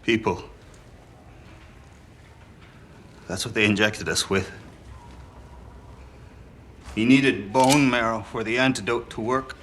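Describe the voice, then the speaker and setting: A conscience-stricken man of science, grave and troubled. He speaks slowly in a mid-range voice with a tense edge, in game cutscene style over faint ambient noise. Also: lowish voice, steady tone, fairly bright tone. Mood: grim. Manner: solemn, weighty, troubled. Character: grave, conscience-stricken male scientist, horrified by what he learns. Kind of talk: dramatic video game cutscene acting.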